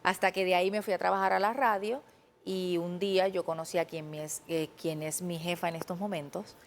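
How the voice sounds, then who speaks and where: A woman's voice: lowish voice, fairly quick, a little hiss or hum. A woman in her thirties with a Puerto Rican accent, a TV journalist telling her story earnestly as a guest in a studio interview. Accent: Puerto Rican Spanish. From Puerto Rico. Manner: earnest, animated, quotes her own past thoughts.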